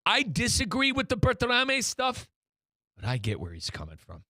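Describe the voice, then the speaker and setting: A man's voice: very high-pitched, huge pitch swings, moderate pace, pushed and bright, dry recording, studio quality. A man hosting a soccer talk show in a casual, opinionated and emphatic way. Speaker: soccer show host and radio play-by-play commentator.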